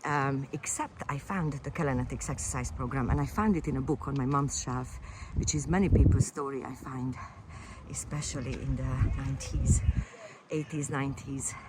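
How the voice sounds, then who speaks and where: A woman's voice: rumbling low, normal speed, background noise. A Hungarian woman, a fitness coach, talking casually and unscripted to camera, with frequent fillers and restarts. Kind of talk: casual personal vlog to camera.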